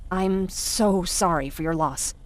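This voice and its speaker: A woman's voice: lowish voice, slow pace. An actress playing a compassionate, outspoken woman of the resistance in a video game. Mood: urgent, compassionate.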